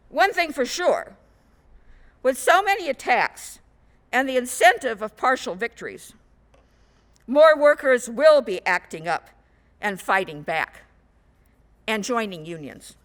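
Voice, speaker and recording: A woman minister giving a sermon in measured, rhetorical short phrases. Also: a little high, big pitch swings. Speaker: guest minister.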